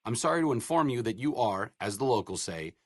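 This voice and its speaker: A man's voice: mid-range voice, lively intonation, brisk, breathy edge, dry recording. An actor playing a wry, idealistic male doctor in a video game, dry and sarcastic by turns, then earnest.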